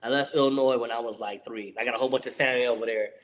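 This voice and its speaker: A man in his forties, a Marine veteran from San Diego, telling stories in a casual chat on a video call. He talks to the audience in an animated way and laughs often. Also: mid-range voice, very fast. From San Diego, USA.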